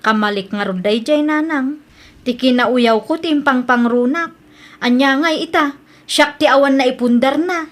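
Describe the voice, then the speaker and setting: A woman playing a grown daughter with an Ilocano accent in an audio drama, speaking softly and earnestly. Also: high voice, moderate pace, close to an everyday voice. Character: grown daughter, once scornful now humbled. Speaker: daughter Brenda.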